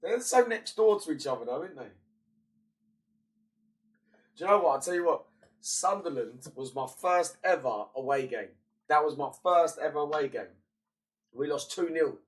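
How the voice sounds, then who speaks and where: A man's voice: on the high side, expressive, normal speed, long pauses, recorded from a distance. A young man with a blocked-up, fading voice, chatting casually as he streams a football management game and mutters between bursts. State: congested, voice partly gone. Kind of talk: casual gaming commentary.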